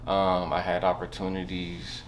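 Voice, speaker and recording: A young man talks in a low voice. He rambles casually, hesitating and leaning on slang, like a guest telling his own story. The recording has clear background noise. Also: little pitch movement, measured pace, poor audio. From Southern California, USA. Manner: slangy, rambling, hesitant, punctuated with bro and you know. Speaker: podcast guest, barber.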